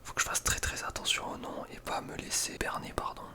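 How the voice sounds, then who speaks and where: Whispering young man, crisp and breathy. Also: a little fast, pushed and bright. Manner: casual, slangy, hesitant. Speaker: ASMR video creator. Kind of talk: ASMR, informal gaming commentary.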